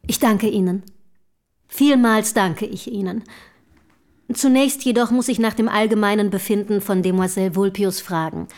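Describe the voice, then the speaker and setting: A woman's voice: medium pitch, normal speed, a little mellow, lightly acted. An actress in a German radio play playing a forward, inquisitive young woman of the Romantic era, with formal, polite, probing diction. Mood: formally polite.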